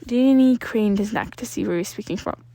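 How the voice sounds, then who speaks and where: A woman's voice: mid-range voice, fairly quick, mellow, dry recording. A woman reading scripted fiction aloud as an amateur story narrator.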